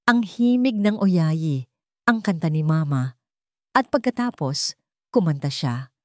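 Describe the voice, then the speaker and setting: A Filipino woman narrating a scripted dramatic story in a measured, emotive way with deliberate pauses. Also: low-pitched, animated, a little mellow, no echo, clean studio sound.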